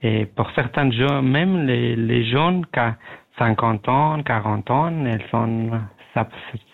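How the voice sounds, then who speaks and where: Slow, creaky Afghan-accented man on the phone. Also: medium pitch. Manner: polite, halting, searching for words. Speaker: radio phone-in caller. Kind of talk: hesitant conversation in a second language. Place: at home, on the phone. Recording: tinny narrowband phone line.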